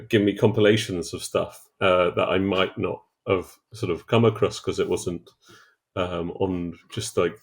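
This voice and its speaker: Man in his thirties, very deep with a gravelly fry, thoughtful co-host, halting delivery. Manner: thoughtful, hesitant with many fillers. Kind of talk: casual chat.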